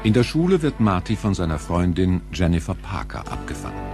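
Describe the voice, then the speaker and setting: A man's voice: low voice, very animated, fairly quick, over music. A man narrating a German radio play in a scripted, dramatic storytelling style.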